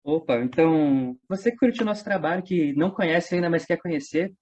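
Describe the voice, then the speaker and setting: Higher-voiced Brazilian man, slightly dull recording. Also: brisk, darkish tone. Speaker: guest, radio drama company member. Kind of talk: casual interview chat. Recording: dull lo-fi sound.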